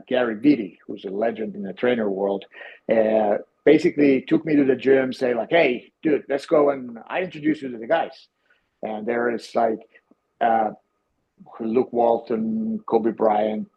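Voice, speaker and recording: Husky Finnish-accented man telling stories. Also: medium pitch. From Finland. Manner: halting, many restarts and fillers. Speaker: guest, former team physiotherapist. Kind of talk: conversational storytelling. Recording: dull, lo-fi sound.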